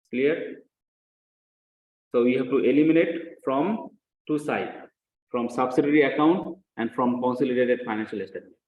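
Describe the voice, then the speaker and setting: Male lecturer in an echoey room. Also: medium pitch. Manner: repeats phrases, asks short check questions. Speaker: accounting lecturer. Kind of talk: teaching lecture with worked example. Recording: echoey room, dull muffled sound.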